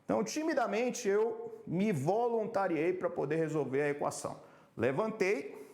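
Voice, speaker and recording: A man from Salvador, Brazil, coaching on public speaking in a measured, emphatic way that slows down to stress key points. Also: high voice, animated, darkish tone. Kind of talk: motivational talk to camera. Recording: echoey room.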